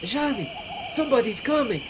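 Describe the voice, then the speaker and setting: A woman's voice: a little high, lively intonation, moderate pace, background noise, close to an everyday voice. A woman playing a timid, hesitant doctor, a criminal's hapless sidekick, in a radio play. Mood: nervous. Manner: hesitant, placating.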